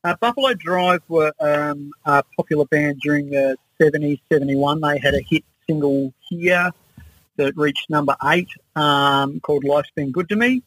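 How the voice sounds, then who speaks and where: A man's voice: on the high side, normal speed, fairly bright tone, no room sound, poor audio. An Australian man in his sixties reminiscing casually as an interview guest. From Adelaide, Australia. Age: 60s. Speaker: interview guest, musician and researcher.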